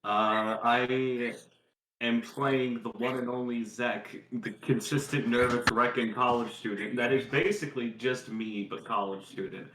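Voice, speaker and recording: A man's voice: medium pitch, steady tone, a little slow. A man chatting casually as a tabletop player, joking in a mock-exasperated way. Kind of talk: casual chat. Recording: roomy echo, faint noise, clicks.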